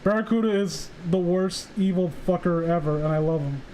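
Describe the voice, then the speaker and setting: A man hosting a casual livestream chat, rambling excitedly and swearing freely like an enthusiastic fan. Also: high voice, normal speed, slight background noise, low-quality recording. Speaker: livestream host. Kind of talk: casual chat about comic book characters.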